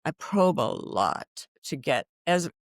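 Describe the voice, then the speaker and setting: A woman's voice: deep voice, slow pace, dry recording, clean studio sound. A middle-aged woman from Belgium, chatting expressively as a podcast guest. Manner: expressive, chatty, restarts a lot. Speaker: wedding officiant guest. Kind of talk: casual interview chat.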